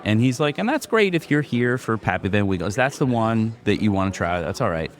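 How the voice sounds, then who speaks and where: Deep voiced podcast host guy, rambly, says like a lot. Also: sing-song, brisk. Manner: loose and rambling, lots of "like" fillers. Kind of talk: casual podcast chat.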